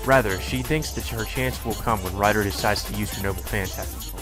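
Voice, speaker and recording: Low-voiced man reading over loud music. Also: fairly quick. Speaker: let's play narrator. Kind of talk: reading visual novel narration aloud. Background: game music.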